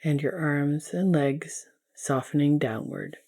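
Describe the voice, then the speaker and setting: A woman guides a restful meditation in a gentle, soothing manner. Her voice sits low and mellow, with plenty of soft vocal fry. She gives each instruction calmly, like a teacher of yoga nidra. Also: slow.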